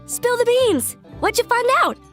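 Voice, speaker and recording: Brash high-voiced Southern country gal. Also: female voice, big pitch swings, normal speed, fairly bright tone, faint music behind. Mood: eager. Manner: folksy, drawling, brash. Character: brash folksy young country gal, excitable amateur detective.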